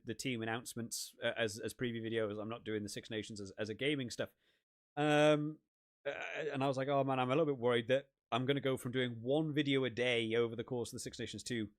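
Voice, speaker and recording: A man from the UK hosting a rugby gaming live stream, chatting and rambling with lots of restarts, his voice wearing out and going hoarse. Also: mid-range voice. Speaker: rugby gaming channel host. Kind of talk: casual live stream chat.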